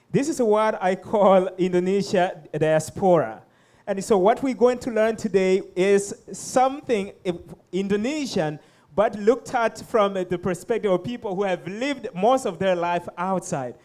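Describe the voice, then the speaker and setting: A man's voice: high-pitched, a little mellow. A man hosting a panel conversation, encouraging and friendly as he asks his guests questions. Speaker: host.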